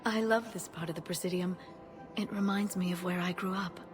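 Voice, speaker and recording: Low, tender alien woman scholar played by an actress. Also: steady tone, a little fast, over music, natural voice. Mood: nostalgic. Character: soft-spoken, tender female alien scholar. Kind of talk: video game voice acting.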